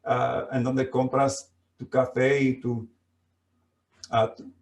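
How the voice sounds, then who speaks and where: Slow, gravelly man preaching in an echoey room. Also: mid-range voice, flat delivery. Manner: slow preaching with frequent fillers and restarts. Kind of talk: sermon. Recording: echoey room, mic at a distance.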